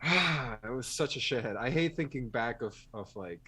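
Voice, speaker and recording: A man's voice: medium pitch, expressive, moderate pace, sharp tone, husky. A man hosting a film podcast in casual conversation, joking and self-deprecating as he rambles through his thoughts. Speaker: podcast host.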